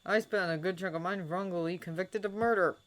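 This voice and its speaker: Woman doing sardonic wizard guy, growly and forceful, storybook reading. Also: fairly low pitch, fairly quick, voice pushed up, close to an everyday voice. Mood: wry. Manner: growling, grumbling, loud. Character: grumpy sardonic grown man, wizard.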